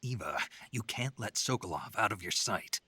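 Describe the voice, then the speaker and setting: An actor playing a gruff, terse soldier on a covert mission in a video game, with a gravelly voice. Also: male voice, lowish voice, lively intonation, normal speed, pushed and bright, no room sound, exaggerated voice. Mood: terse, guarded. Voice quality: gravelly, husky. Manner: terse, dry. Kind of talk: video game radio-call dialogue acting.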